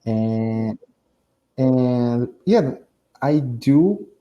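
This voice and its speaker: A man's voice: medium pitch, expressive, very slow, muffled recording. A man from Brazil with a Brazilian Portuguese accent, speaking English as a learner in a casual video call, hesitant and often restarting his phrases. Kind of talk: casual online lesson chat.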